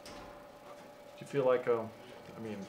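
A man's voice: mid-range voice, flat delivery, measured pace, a little mellow, slight background noise. A male reporter asking casual, rambling questions at a press conference.

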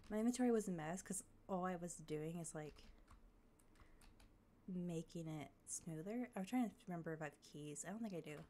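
A woman live-streaming a game, chatting casually with fillers and thanking followers, her voice slightly raspy as she comes down with a cold. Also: lowish voice, normal speed, dark tone, a little hiss or hum. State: coming down with a cold.

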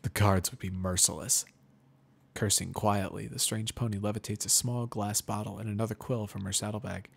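Low-voiced man reading a story. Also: fairly even intonation, normal speed, vocal fry, slightly rough. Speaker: narrator, reader of fan fiction. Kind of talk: dramatic reading, scripted first-person narration.

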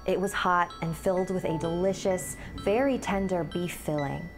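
A woman in her thirties narrates a dark tale in a fairly low voice. Her delivery is scripted and dramatic, with clear music playing behind her. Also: fairly even intonation, moderate pace. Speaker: storyteller. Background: background music.

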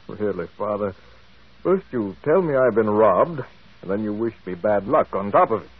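A skeptical, self-assured company boss in an old radio mystery. He is brisk and husky, with a very mobile pitch, heard through a thin, boxy broadcast recording. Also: animated. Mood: incredulous. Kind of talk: old radio drama acting. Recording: muffled, tinny vintage radio broadcast.

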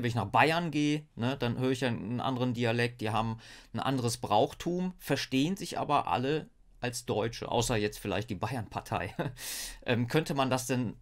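A man hosting a podcast in casual conversation, hesitant as he feels out his points. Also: medium pitch. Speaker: podcast host.

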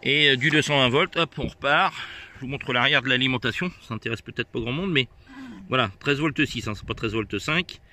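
A man's voice: medium pitch, fairly quick, pushed and bright, slight background noise. A man chatting casually on amateur radio and showing his gear outdoors, explaining it hesitantly step by step. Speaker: amateur radio operator filming a video. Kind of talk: casual radio chat and hands-on demonstration. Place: outdoors on a hilltop field.

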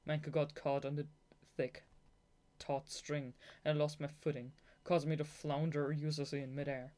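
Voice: medium pitch, flat delivery, normal speed, warm tone. Young amateur audiobook reader, native German speaker. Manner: giggly, gushing fangirl asides between read passages. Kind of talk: amateur audiobook narration with excited asides.

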